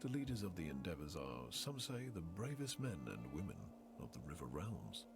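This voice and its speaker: An actor playing a world-weary, brooding narrator of a ruined fantasy land in a video game intro, grave and theatrical, with hushed word endings. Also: male voice, low voice, sing-song, moderate pace. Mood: somber, brooding. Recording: loud music under the voice.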